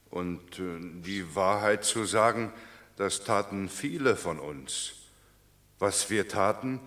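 An actor playing a muddled, self-excusing Roman commoner in a classical radio play, with a plain, hedging manner. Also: male voice, lowish voice, a bit bright. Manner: plain, hedging, slightly comic.

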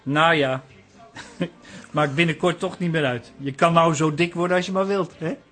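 A man's voice: a little high, normal speed, fairly bright tone. An actor playing a teasing, easily exasperated brother in a Dutch radio play, sputtering and exclaiming as he talks. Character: teasing, exasperated brother, a harried wedding photographer.

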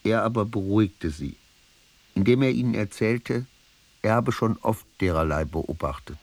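A man playing a weary, ailing archaeologist who reads brooding, deliberate notes in a literary radio play. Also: fairly low pitch, expressive, a little hiss or hum. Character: weary ailing male archaeologist writing his notebooks.

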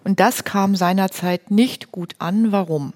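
A woman's voice: medium pitch, a little slow, a little mellow. Orderly woman hosting an interview. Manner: orderly, summarizing, guiding. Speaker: host. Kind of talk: structured radio interview with summaries and questions.

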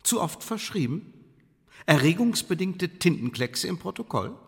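An actor playing a stern, sardonic official of a secret tribunal in a German radio drama, formal and needling. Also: male voice, high-pitched, big pitch swings, unhurried, creaky, fairly bright tone, husky, full character voice. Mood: mocking. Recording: reverberant stone-hall sound.

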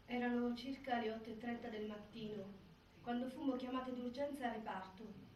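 An actress playing a sober prison doctor in a stage drama, giving measured, formal, clinical testimony. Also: female voice, on the high side, steady tone, darkish tone, lightly acted. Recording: roomy theatre, distant mic, faint noise.

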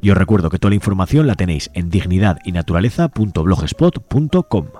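A man with a deep, low voice reads out upcoming events on a community radio show. His delivery is easygoing and conversational, and he often ends his points with a questioning "right?". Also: quick pace, over music. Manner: relaxed, conversational, tags lines with a questioning "right?". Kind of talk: casual radio announcements.

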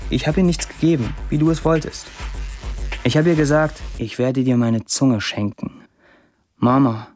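Guy playing young writer in a radio drama, brash n confiding monologue, medium pitch, bit of music under it. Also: a little mellow, lightly acted. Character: young Syrian writer, brash, intimate, morbidly candid. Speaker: lead actor reading a writer's status posts. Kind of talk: first-person literary monologue in radio drama.

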